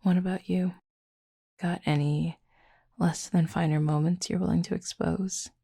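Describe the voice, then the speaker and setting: Woman voicing a husky, flirty werewolf, deeply gravelly. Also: flat delivery, warm tone, heavily acted. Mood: flirty teasing. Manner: dry, teasing, unhurried, wordy. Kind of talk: intimate audio roleplay, late-night chat.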